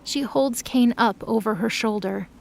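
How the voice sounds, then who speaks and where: A woman narrator tells a dramatic scripted story in a fairly high voice. There is clear noise in the background of the recording. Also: a little slow, darkish tone.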